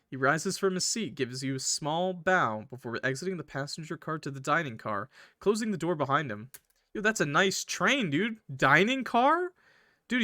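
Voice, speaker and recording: A man with a bright, slightly high voice narrates a visual novel for a casual let's play. He slips between reading aloud and informal, crude joking commentary. The sound is close and dry. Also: no room sound. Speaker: gaming video host.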